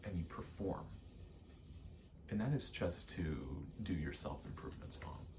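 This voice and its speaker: A man with a very deep, hushed voice talks in a casual ASMR ramble about what he is doing in a game. He takes his time, moving slowly in short, halting pieces and often dropping into a whisper. There is faint noise behind him. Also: expressive. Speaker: ASMR creator, gamer; actor and stage combatant.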